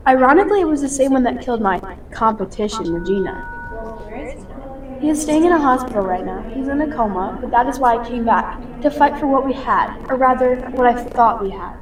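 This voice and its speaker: Woman acting high-pitched sassy girl, roomy sound. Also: expressive, a little fast, natural voice. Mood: sassy, dramatic. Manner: sassy, melodramatic girl talk. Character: dramatic, shallow, gossipy young female friends. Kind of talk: amateur radio play acting. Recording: roomy, far from mic, crowd behind.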